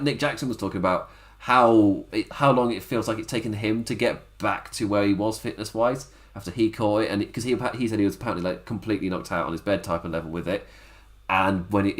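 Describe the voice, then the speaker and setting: Chatty man reviewing wrestling. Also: lowish voice. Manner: chatty, rambling, laughs often. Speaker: wrestling podcast host. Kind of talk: casual solo review. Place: home setup on camera.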